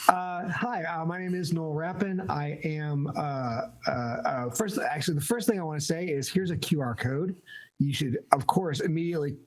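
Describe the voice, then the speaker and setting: Wry higher-pitched man on a video call. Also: moderate pace, low-quality recording. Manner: wry, joking. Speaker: remote guest speaker. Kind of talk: informal conference talk opening.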